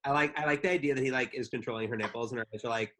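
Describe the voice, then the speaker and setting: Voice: mid-range voice, racing, fairly bright tone, slight background noise. A co-host on a live podcast, making wry joking asides. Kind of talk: live comedic banter.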